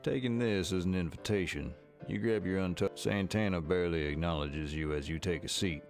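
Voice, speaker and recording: A man with a very low, creaky voice reads scripted story narration for a visual novel. Faint music sits behind his words. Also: fairly quick.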